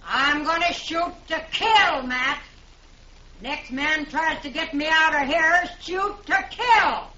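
A woman's voice: high voice, slow pace, pushed and bright, exaggerated voice. An actress playing a defiant, stubborn woman landholder who threatens intruders in an old radio western. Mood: grim, threatening. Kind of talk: 1950s radio western acting. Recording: muffled, noisy, roomy 1950s radio recording.

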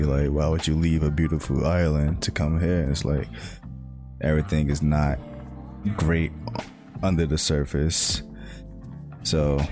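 A young American man talking in a halting, reflective way, full of "like" fillers, in a casual monologue. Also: very deep voice. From United States. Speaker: speaker sharing personal reflections. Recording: guitar music playing underneath.

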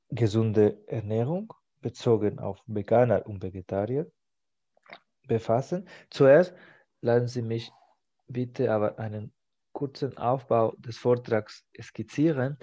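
A man hosting a language-learning podcast, speaking as a learner. He works through a mock exam talk, halting and searching for words. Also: medium pitch, dark tone, lo-fi sound. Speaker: podcast host.

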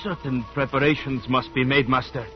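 A man plays a grave, loyal chief who addresses his master with formal respect. His voice is mid-pitched and measured. The 1940s radio recording is dull, with music in it. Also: steady tone, lightly acted. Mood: grave warning.

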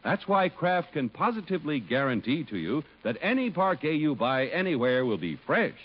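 A man's voice: fairly high pitch, sing-song, moderate pace, husky. A man announcing in 1950s radio style, with an emphatic, polished sales delivery of scripted copy. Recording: dull vintage radio recording.

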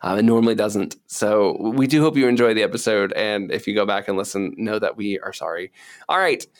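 A man's voice: lowish voice, brisk. A man co-hosting a podcast, explaining things in a casual, conversational style. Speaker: podcast co-host.

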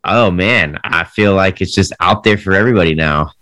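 A man's voice: low voice, normal speed, bright, forceful tone, no room sound. A man appearing as a podcast guest, chatting casually.

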